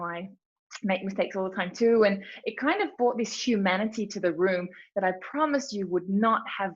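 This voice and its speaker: An Australian woman giving a chatty, informal webinar presentation, telling stories with lots of fillers. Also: on the low side. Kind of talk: casual presentation talk. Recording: dull lo-fi webinar audio.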